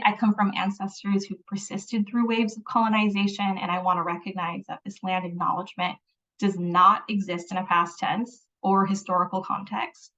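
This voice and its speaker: A woman's voice: medium pitch, fairly bright tone. A woman hosting a webinar over a video call, giving an earnest scripted welcome and land acknowledgement with light laughs. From Philippines. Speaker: webinar host. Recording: distant, dull lo-fi call audio.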